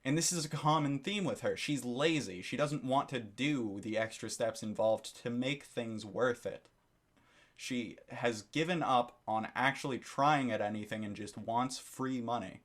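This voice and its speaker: A man's voice: mid-range voice, unhurried, fairly bright tone. A man giving a critical commentary monologue for his video channel, lecturing and disapproving, often repeating his phrases. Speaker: YouTube commentator.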